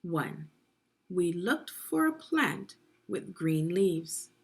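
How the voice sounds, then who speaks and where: A woman with a slightly husky voice reads aloud to young children as a teacher would. Her pace is unhurried and her pitch moves in lively swings as she explains and puts questions to the listener. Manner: instructional, prompting listeners with questions. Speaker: teacher leading a children's read-along. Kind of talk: guided read-aloud of a children's science book for young learners.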